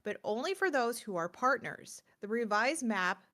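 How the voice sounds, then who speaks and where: A woman hosting a gaming show in casual chat, often slipping into animated quotes and asides. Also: a little high, moderate pace. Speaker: host of a gaming news show. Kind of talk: casual chat about video games.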